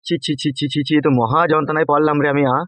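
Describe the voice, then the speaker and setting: Man voicing a rapid-fire blustering cartoon politician. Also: fairly high pitch, flat delivery, no room sound, natural voice. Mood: exasperated. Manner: blustering, crude, exclaiming in repeats. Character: bullying, lecherous husband and local politician. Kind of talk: comic cartoon dialogue.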